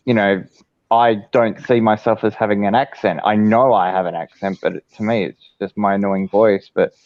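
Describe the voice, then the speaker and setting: Man, low voice, soft and hesitant, dull lo-fi stream audio. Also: fairly even intonation, normal speed, no room sound. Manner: soft, hesitant, full of fillers. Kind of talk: casual chat.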